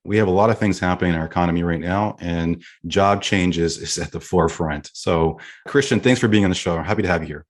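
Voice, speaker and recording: A man's voice: low-pitched, fast, few pauses. A man hosting a business interview show, speaking in a conversational way. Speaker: podcast host.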